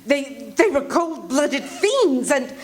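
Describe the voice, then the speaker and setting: A woman acting a high-strung, imperious wealthy lady in a live radio play, urgent and breathless. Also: very animated, slow pace, exaggerated voice. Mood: frantic. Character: anxious demanding wealthy woman, invalid. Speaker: radio drama actor. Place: RV resort hall before a live audience.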